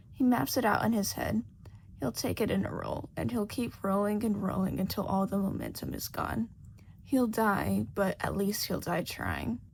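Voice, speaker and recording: A woman narrates a piece of fan fiction from a script. Her voice is husky, with a creaky edge, and her pitch moves expressively as she reads. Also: a little mellow. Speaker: podfic reader.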